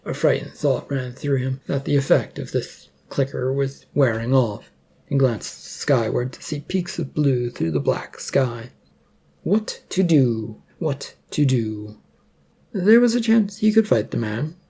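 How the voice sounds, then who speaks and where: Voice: mid-range voice, lively intonation, unhurried, creaky, mellow, breathy edge. A narrator reading a third-person adventure story as scripted prose.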